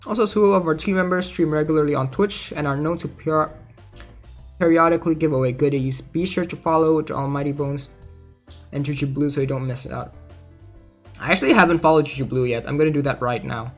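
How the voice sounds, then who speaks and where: A gaming content creator talks in a casual, mid-pitched voice with music behind. The delivery is conversational commentary on game news, switching now and then into reading aloud. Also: fairly quick.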